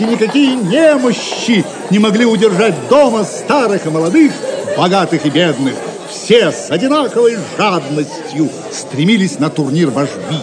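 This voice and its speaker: Animated, higher-voiced male narrator. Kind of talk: dramatic scripted narration of an audio drama. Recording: crowd noise behind. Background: crowd of spectators.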